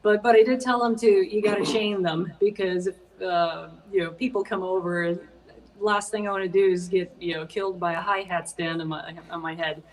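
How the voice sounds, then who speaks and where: A woman's voice: lowish voice, steady tone, moderate pace. A woman with a faint Swedish accent, a musician guest chatting casually in an interview, with plenty of hesitant fillers. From Sweden. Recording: voice a bit far from the mic.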